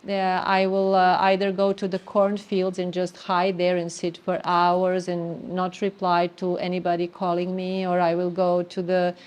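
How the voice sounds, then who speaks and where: A middle-aged woman from Serbia with a Serbian accent, telling her spiritual story in an earnest, halting way with many fillers. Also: lowish voice, completely flat delivery, a little mellow. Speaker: interviewee sharing spiritual story. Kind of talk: reflective personal testimony.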